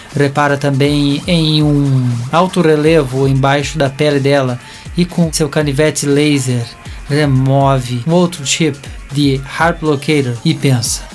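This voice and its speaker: A man's voice: on the high side, steady tone, normal speed. A man with a Brazilian accent reading scripted narration for an audio drama. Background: music.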